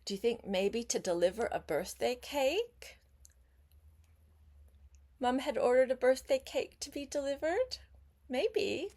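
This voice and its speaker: Older woman reading stories slowly and expressively. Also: high-pitched. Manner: expressive, pauses to chat with the child listener. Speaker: grandmother reading a children's book aloud. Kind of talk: read-aloud storytelling for young children with questions to the listener.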